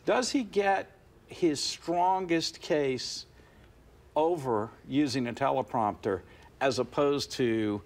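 A middle-aged man sits on a studio couch as a guest analyst and talks through his points with a lapel mic. His voice sits in an ordinary middle pitch. He is measured and explanatory, pausing on "uh" and restarting phrases as he thinks. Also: a little mellow. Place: TV studio set, seated on a couch.

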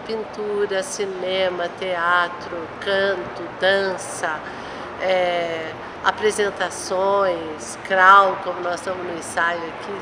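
A Brazilian woman in her fifties answering thoughtfully in a street interview, listing examples one by one. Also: lowish voice. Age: 50s. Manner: slow, thoughtful, lists items. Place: outdoor train station. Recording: outdoor traffic noise.

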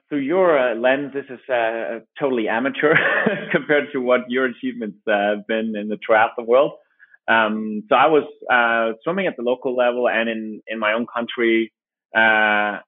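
A man from Denmark, a company founder, answering interview questions in a thoughtful, halting way with frequent restarts. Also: medium pitch, dry recording. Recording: dry, tinny phone-like line.

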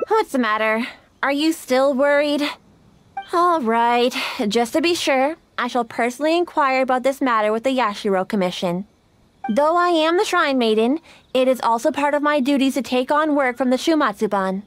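A woman's voice: sits high, expressive. A woman voicing a polite, dutiful young shrine maiden who speaks formally. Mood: composed. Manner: formal, courteous, measured. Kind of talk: game voice acting.

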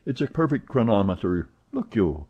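A man voicing an excitable, chatty young French manservant who talks eagerly and protests often, in a dull lo-fi recording. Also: fairly low pitch, husky. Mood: excited. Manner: eager, exclamatory, quick to protest.

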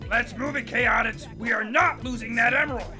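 Woman acting high-voiced, grumpy cartoon crocodile boss. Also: fairly quick, sharp tone, soft background music. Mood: bossy. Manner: blustering, grumbling. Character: grumpy, bossy, greedy male crocodile detective leader. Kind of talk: cartoon comic fan dub.

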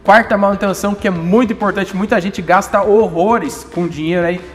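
A young man presenting car maintenance tips in a brisk, insistent explanatory way, often repeating key points, recorded on a phone camera in a workshop. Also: high voice, steady tone, big-room sound, soft background music. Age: young adult. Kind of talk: explanatory how-to talk. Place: indoor auto workshop.

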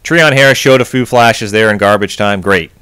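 A man's voice: lowish voice, normal speed, sharp tone, smooth, no echo, studio quality. A man hosting a sports podcast, venting his frustration in a post-game rant. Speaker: podcast host.

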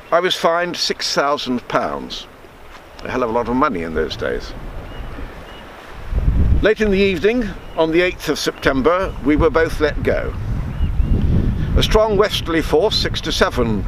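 A man, reading a true story aloud in measured, deliberate phrases. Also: on the high side. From Alderney, Channel Islands. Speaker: storyteller reading his book. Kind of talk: read-aloud true story with spoken asides. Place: outdoors on a rocky harbour shore. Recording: outdoor wind and water noise.

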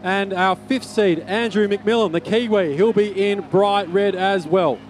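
A man co-commentating a live swim race, briskly running through the competitors outdoors. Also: sits high, slight background noise. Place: outdoors at a beach event.

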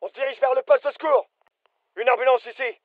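A man's voice: extremely high pitch, a little fast, no echo, phone-quality sound. A male actor playing an alert, businesslike soldier giving clipped, urgent callouts in a military shooter game. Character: British fireteam soldier, alert, businesslike adult man. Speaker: voice actor, French dub.

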